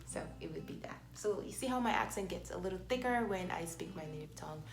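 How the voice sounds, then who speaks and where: A woman in her thirties from Nigeria, speaking English with a Nigerian accent and Igbo as her first language, chats her way through a vlog Q&A. Her voice sits on the low side, and her talk is self-conscious, full of fillers and restarts. The room sounds echoey, with music playing behind her. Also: lively intonation, fast talker, vocal fry. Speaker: vlogger. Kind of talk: casual Q&A vlog.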